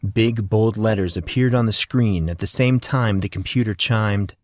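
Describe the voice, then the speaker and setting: A man's voice: on the low side, normal speed, darkish tone, no room sound, clean studio sound. A man reading scripted fiction as an audiobook narrator.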